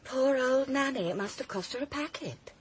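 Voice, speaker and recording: A woman's voice: sits high, animated, moderate pace, background noise. A woman playing a cool, wry young student in a radio drama.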